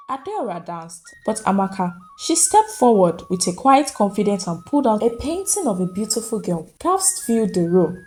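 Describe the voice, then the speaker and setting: Highly animated woman narrating a folktale. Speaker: storyteller, narrator. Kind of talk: dramatic scripted narration of a folktale. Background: faint music.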